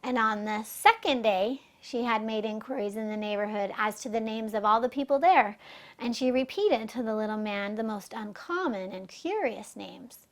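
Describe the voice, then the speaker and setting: Woman, higher-pitched voice, children's storyteller, expressive fairy-tale narration. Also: normal speed.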